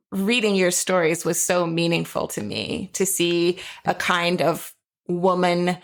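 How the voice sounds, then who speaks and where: An American woman co-hosts a podcast with a fairly low voice and noticeable vocal fry. She speaks casually but earnestly, with enthusiasm in how she puts things. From United States, Jacksonville, Florida. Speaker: podcast co-host.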